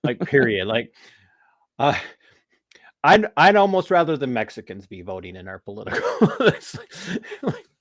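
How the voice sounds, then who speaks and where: An American man hosting a podcast, talking politics in a rambling, animated way with restarts and laughs. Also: mid-range voice, moderate pace, no room sound, clean studio sound. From United States. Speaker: podcast host. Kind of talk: casual political talk.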